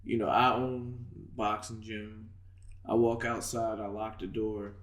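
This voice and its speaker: A man's voice: fairly low pitch, flat delivery, unhurried, fairly bright tone. Man hosting a podcast in an echoey room. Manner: laughs easily, loose and conversational. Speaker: podcast host and boxing coach. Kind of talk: casual interview chat.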